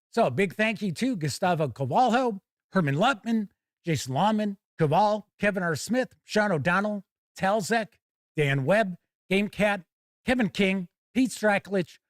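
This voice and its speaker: Higher-pitched American man hosting a live stream. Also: no echo, studio quality. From United States. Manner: chatty, colloquial, emphatic. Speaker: YouTube live stream host. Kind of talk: casual live stream chat.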